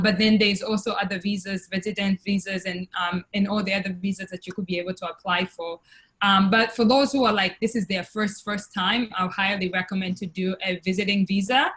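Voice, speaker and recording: A young woman from Tanzania, speaking on a video call webinar, with many pauses, fillers and restarts. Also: medium pitch, fairly bright tone, rough recording. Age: young adult. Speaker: webinar guest, investor. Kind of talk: casual testimonial on a webinar.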